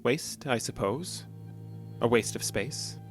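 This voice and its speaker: A creepy controlled patient in a radio drama, secretly murderous, halting and guarded, music under it. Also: male voice, medium pitch, animated. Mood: cold contempt. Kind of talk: audio drama acting.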